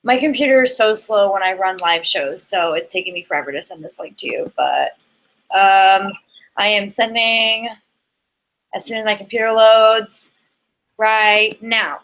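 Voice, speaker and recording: A woman's voice: medium pitch, a little slow, a bit bright, low-quality recording. A woman co-hosting a live book show, chatting casually and informally, often dropping "like" into her sentences.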